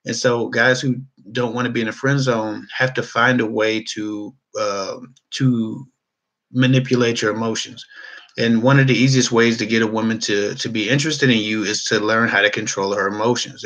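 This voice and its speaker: A man's voice: mid-range voice, a bit bright, rough recording. A man hosting a dating advice talk, speaking frankly in a rambling, casual way. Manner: frank, rambling, with many restarts and fillers. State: losing his voice.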